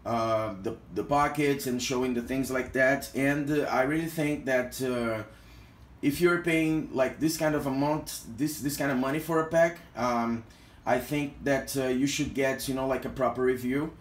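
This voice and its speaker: A man's voice: mid-range voice, fairly even intonation, moderate pace. A Brazilian man with a mid-range voice talks through a gear review, stopping often for fillers and restarts. He sounds hesitant but friendly in a casual way. The small indoor room around him adds a roomy echo. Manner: hesitant, heavy use of fillers. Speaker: product reviewer.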